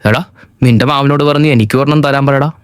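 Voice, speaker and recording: A man's voice: medium pitch, fairly even intonation, fast, smooth, no room sound, clean studio sound, close to an everyday voice. A young man from Kerala voicing a greedy, naive cartoon friend who is eager to freeload.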